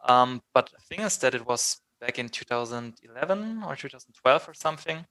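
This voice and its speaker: A man's voice: mid-range voice, expressive, fairly quick, pushed and bright. A man from southern Germany with a German accent, speaking casually as an interview guest. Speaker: band vocalist, interview guest.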